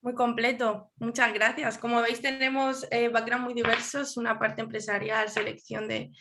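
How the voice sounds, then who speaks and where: A woman's voice: on the high side, little pitch movement, normal speed, sharp tone, a little hiss or hum. Woman moderating an online panel. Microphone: video call.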